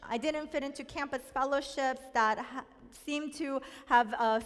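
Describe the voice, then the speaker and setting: High-voiced woman telling her story in a hall. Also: fairly bright tone. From South Korea. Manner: reflective, candid storytelling. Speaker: speaker sharing a personal testimony. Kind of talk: personal testimony talk.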